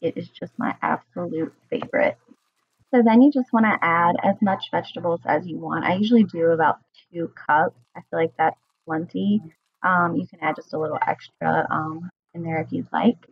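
A woman's voice: on the low side, normal speed, vocal fry, breathy edge, highs cut off. A woman in her thirties, a home cooking vlogger, talking casually through her meal prep with a chatty, enthusiastic manner and plenty of ums. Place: home kitchen, indoors.

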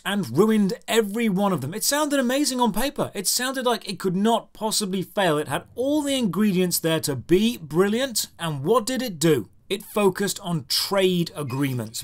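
High-pitched man, dryly sarcastic. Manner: dry, exasperated sarcasm. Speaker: video game reviewer. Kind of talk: scripted review narration over gameplay.

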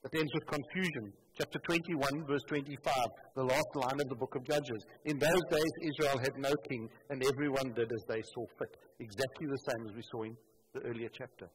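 A man's voice: medium pitch, steady tone, brisk, slight background noise. A man, preaching a sermon from a church pulpit on a headset mic, emphatic and full of rhetorical questions. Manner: emphatic, rhetorical questions, stresses key words. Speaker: pastor. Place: church stage at a wooden pulpit. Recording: reverberant church hall, faint ambience.

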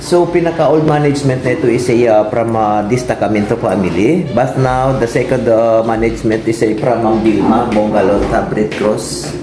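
A man's voice: mid-range voice, normal speed, hardly any pauses, a crowd in the background. A young man working at a restaurant, politely explaining its antiques on a guided tour and recorded on a nearby phone. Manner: polite, explanatory, mixing English into his talk. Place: old house restaurant, indoors. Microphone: vlogger's phone nearby. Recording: echoey noisy room.

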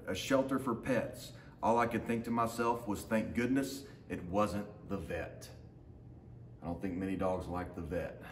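A man in his thirties reading a children's picture book aloud to students as their teacher, with short asides to his listeners. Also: fairly low pitch. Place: large open indoor lounge with hard floor. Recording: roomy echo, faint background noise.

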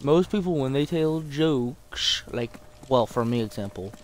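A man's voice: mid-range voice, lively intonation, measured pace. A man doing casual, rambling, self-deprecating gameplay commentary with frequent swearing and a slightly sore throat. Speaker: gaming video host. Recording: game gunfire and noise behind voice. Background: zombie shooter gunfire and ambience.

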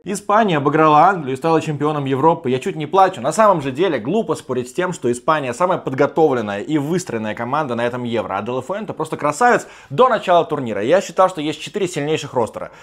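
A man in his thirties talks football from a desk in a small room, his voice sitting a bit high and sounding rough and worn. He argues emphatically and slips into sarcastic, mock-gushing asides. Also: animated, quick pace, few pauses, a bit bright. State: strained, partly lost voice. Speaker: football show host. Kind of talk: animated football commentary monologue. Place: small room with sofa, indoors.

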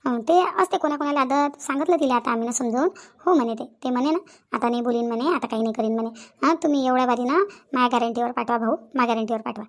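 Woman acting a fast, high-voiced insistent village woman. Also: lightly acted. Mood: placating. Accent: rural Maharashtrian, Vidarbha. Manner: insistent, chatty, repeats phrases for emphasis. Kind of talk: Marathi comedy cartoon dialogue.

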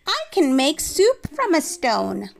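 A woman's voice: sits high, huge pitch swings, slow, vocal fry, voice pushed up, full character voice. A woman voicing a cheerful, sly, hungry young man from a storybook, coaxing as he talks. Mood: confident.

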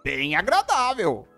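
A woman with a Brazilian accent giving casual, expressive gameplay commentary and reading dialogue aloud as host. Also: sits low. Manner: expressive, informal.

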